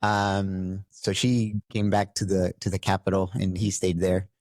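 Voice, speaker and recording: A middle-aged man from Costa Rica telling his life story as a podcast guest, halting and reflective. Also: deep voice, flat delivery, darkish tone, dry recording. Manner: halting, many fillers and restarts. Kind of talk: interview storytelling.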